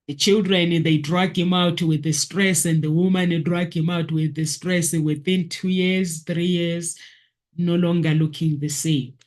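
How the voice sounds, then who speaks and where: A man hosting a conversational discussion, making points emphatically and repeating key words and phrases. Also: a little high.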